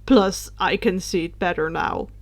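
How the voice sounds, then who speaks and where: A woman voicing an earnest, good-natured teenage boy who is a Dementor, breathy and sheepish, in a story reading. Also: on the low side, unhurried, dry recording, voice pushed down. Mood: sheepish, amused.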